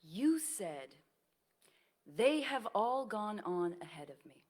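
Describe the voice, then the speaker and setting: A woman's voice: lowish voice, breathy edge, full character voice. Older female professor of nursing, played by an actress, fond and earnest stage delivery, widely varied intonation. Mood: gentle, reflective. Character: aging woman nursing professor, fond, encouraging, reassuring friend. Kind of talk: staged play reading.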